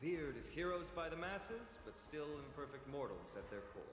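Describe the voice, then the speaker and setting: A man's voice: a little high, very animated, moderate pace, background noise. A man voicing a youthful, easygoing heroic swordsman in a video game, with a wry, theatrical delivery. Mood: composed, explaining. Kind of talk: dramatic video game voice acting. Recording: long reverb, loud music underneath. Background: game music.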